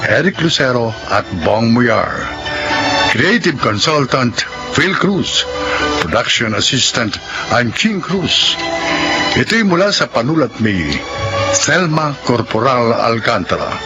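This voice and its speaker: A man announcing a radio drama in a formal, measured, solemn style. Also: mid-range voice, sing-song, lo-fi sound, over loud music. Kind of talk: formal announcing, reading credits.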